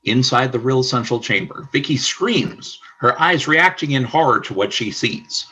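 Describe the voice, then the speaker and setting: A man narrating a scripted radio play as announcer, in a formal, deliberate storytelling manner. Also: mid-range voice, expressive, pushed and bright, breathy edge, low-quality recording.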